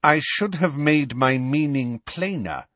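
Stern older lady teacher, as a guy reads her, higher pitched, curt and clipped, dry close sound. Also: expressive, takes it slowly, a bit bright, dry recording, heavily acted. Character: stern crisp older female professor.

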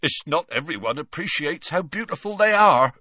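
A man voicing a huge, soft-hearted male groundskeeper, tearful and choked up, speaking in a folksy way, raised above his own voice. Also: on the high side, expressive, normal speed, dry recording, clean studio sound, voice pushed up.

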